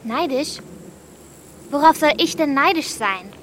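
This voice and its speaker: A woman's voice: high-pitched, animated, measured pace, fairly bright tone, a little hiss or hum. A woman playing a blunt, wary thirteen-year-old village girl in a radio drama, with terse replies. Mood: blunt, needling.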